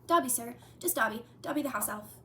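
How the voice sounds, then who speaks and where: Woman reading as a small male house elf, squeaky high voice, servile and anxious, faint hum behind. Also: fast, fairly bright tone, close to an everyday voice. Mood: earnest. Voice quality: high-pitched. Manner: servile, calls everyone sir, speaks of himself in third person.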